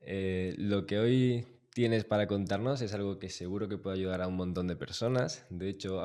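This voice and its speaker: A man's voice: deep voice, darkish tone. A man from hosting a podcast interview, talking to listeners directly in a friendly, explanatory way. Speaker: podcast host.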